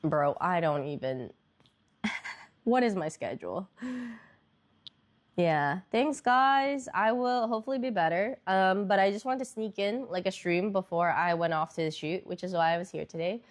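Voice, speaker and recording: Stuffy nosed young woman streaming, rambly casual chat, kinda low voice. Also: normal speed. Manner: rambling, lots of fillers. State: congested, nasal.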